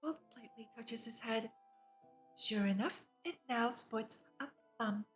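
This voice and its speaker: A woman with a fairly high voice reads a visual novel on a livestream. She shifts between narrating the text and chatting about it like an eager fan. Faint music plays behind her. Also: measured pace. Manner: enthusiastic, giggly fan reactions between read passages. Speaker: VTuber streamer. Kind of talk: reading a visual novel aloud with casual live commentary.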